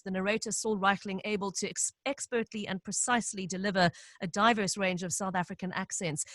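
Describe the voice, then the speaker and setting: A woman's voice: medium pitch, a bit bright, dry recording. A woman hosting a live radio interview, asking questions and reading out listener messages in a friendly way. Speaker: radio host.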